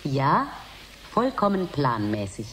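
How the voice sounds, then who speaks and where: An actress playing a matter-of-fact scientist in a radio drama, answering precisely and formally. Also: female voice, very deep voice, big pitch swings, slow pace, a bit bright, husky, exaggerated voice, rough recording. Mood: factual. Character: matter-of-fact female scientist, an expert guest. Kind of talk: radio drama, expert interview. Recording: faint background noise.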